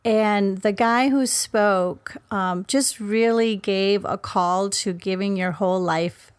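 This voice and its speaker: A woman's voice: medium pitch, slow, smooth, no echo. A woman from Denmark telling a personal story conversationally as a podcast guest. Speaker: podcast guest, ministry worker.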